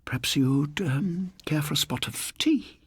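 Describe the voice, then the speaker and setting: A man's voice: on the high side, measured pace, slightly rough, no echo, studio quality, pitched above the performer's own voice, exaggerated voice. A man voicing a prim, genteel elderly spinster landlady who scolds fussily in an audiobook story. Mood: nervous. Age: older. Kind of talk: audiobook character voice.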